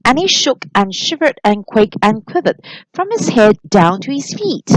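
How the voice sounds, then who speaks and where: A woman reading a children's story aloud in an expressive, deliberate storytelling manner. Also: on the high side, no room sound, rough recording. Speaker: storybook reader.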